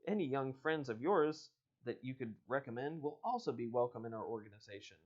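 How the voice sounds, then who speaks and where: A man's voice: mid-range voice, lively intonation, brisk, lo-fi sound, lightly acted. A man voicing a courteous, evasive spokesman of a secretive organization in a storybook, speaking formally and politely. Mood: smooth recruiting pitch. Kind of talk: storybook character reading.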